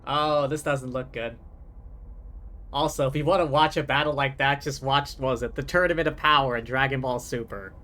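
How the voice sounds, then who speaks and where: A man's voice: medium pitch, fairly even intonation, moderate pace, bright, forceful tone. A man livestreaming a game, giving casual, chatty commentary over it as he plays.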